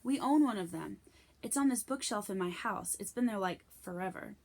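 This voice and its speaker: A woman's voice: mid-range voice, moderate pace, darkish tone, natural voice. A woman reading a children's book aloud, voicing a laid-back, slangy, slightly vain schoolboy. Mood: casual.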